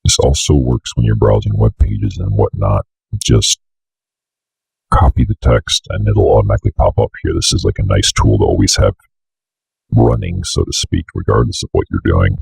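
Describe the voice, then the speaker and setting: Very deep, gravelly young man. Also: sing-song, moderate pace, darkish tone, dry recording, poor audio. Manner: informal, offhand, swears casually. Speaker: tutorial host. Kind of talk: casual screencast tutorial. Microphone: close desk microphone on an arm.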